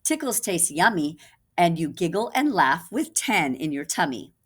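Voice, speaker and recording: A know-it-all town cook from a children's storybook, acted out by a woman reader. The lines are confident, emphatic and sing-song, with animated pitch. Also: moderate pace, husky.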